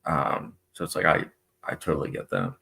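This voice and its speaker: Low-voiced man chatting on a live stream. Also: big pitch swings, normal speed, creaky, pushed and bright. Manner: chatty, reads viewer comments aloud, many fillers. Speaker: movie channel host. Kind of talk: casual live stream chat.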